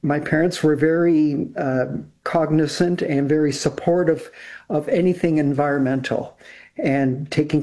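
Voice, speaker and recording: Gravelly older man on a video call. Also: a little high, darkish tone. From Canada. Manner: rambling and chatty, with frequent restarts. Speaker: guest, composer and TV producer. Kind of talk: casual interview talk.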